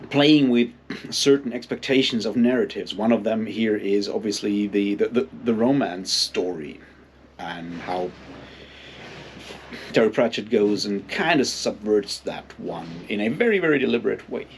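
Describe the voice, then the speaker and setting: A man giving a casual, unscripted book commentary in a halting, rambling way, with plenty of filler phrases. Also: on the low side, a little hiss or hum. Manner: halting, hesitant, rambling delivery with frequent hedging filler phrases. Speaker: book reviewer and readalong host.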